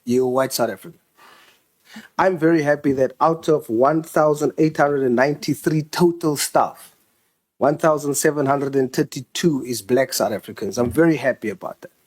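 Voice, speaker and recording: A man's voice: medium pitch, moderate pace. A man questioning officials in a parliamentary committee, pressing and insistent.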